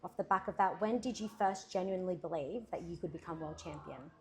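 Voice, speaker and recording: A woman hosting an interview in a café, asking measured questions and often giving short affirmations. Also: on the low side, a little mellow. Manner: attentive, frequent short affirmations. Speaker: interviewer, host.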